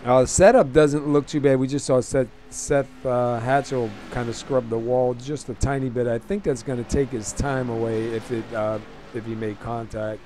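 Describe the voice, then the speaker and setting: A man with a hoarse, fading voice hosting casual, halting race commentary in an informal way. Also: medium pitch, steady tone, warm tone, a little hiss or hum. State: hoarse, losing his voice. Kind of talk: casual sim racing commentary.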